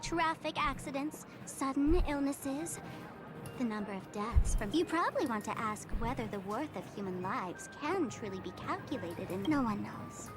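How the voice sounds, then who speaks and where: Woman acting a high-voiced, sly young streamer girl. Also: lively intonation, normal speed, music in the background, close to an everyday voice. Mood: teasing, dismissive. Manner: breezy, sardonic, teasing. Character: sly, casual young female streamer trickster, teasing and nonchalant. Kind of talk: video game character acting.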